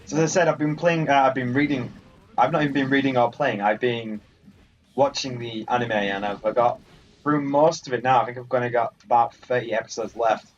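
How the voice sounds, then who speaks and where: A man's voice: medium pitch, fairly even intonation, a little fast, muffled recording. A man giving casual let's play commentary in a rambling, offhand, dryly wry way. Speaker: gaming video host.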